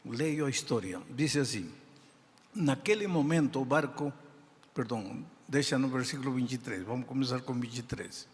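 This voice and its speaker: An older man with a non-native accent in Portuguese, preaching a sermon in slow, deliberate phrases with emphatic pauses. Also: medium pitch, animated. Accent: non-native speaker of Portuguese. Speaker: pastor. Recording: reverberant hall sound.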